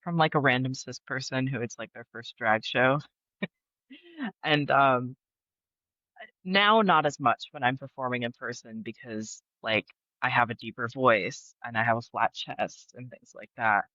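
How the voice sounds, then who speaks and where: A man's voice: mid-range voice, a little slow, sharp tone, dry recording, dull audio. A young man chatting as a podcast guest, laughing often and talking with many fillers. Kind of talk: casual interview chat. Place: Brooklyn.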